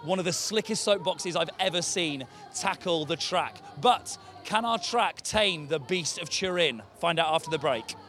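A man's voice: on the high side, lively intonation, normal speed, over music. A man presenting a live outdoor race event, teasing his co-host in a playful way. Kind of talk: presenting and banter.

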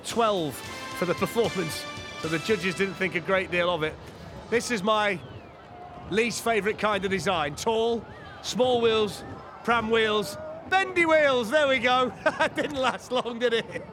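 A high-pitched man calls a soapbox race live at an outdoor track, reacting quickly and cracking jokes. Music plays clearly behind him. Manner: excitable, quick reactions, jokey. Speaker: race commentator. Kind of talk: live sports commentary.

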